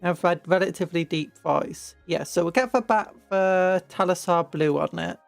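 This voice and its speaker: A young British woman chatting on a livestream, her voice a little croaky. She rambles playfully, stumbling over restarts and now and then breaking into squeals. Faint music plays behind her. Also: fairly low pitch, normal speed. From Britain. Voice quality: croaky from hay fever. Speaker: streamer painting miniatures. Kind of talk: casual livestream chat.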